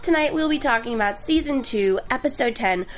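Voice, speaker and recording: A woman's voice: fairly high pitch, lively intonation, brisk. A woman hosting a casual TV after-show, a little congested from a cold, chatty and enthusiastic. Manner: chatty, enthusiastic, many fillers. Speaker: host.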